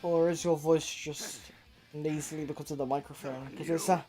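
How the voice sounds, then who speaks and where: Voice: medium pitch, fairly even intonation, moderate pace. A person chatting casually about video games while playing one, reacting offhandedly to the action on screen. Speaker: co-host of a gaming stream, playing the game. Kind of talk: casual gaming chat. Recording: faint background music.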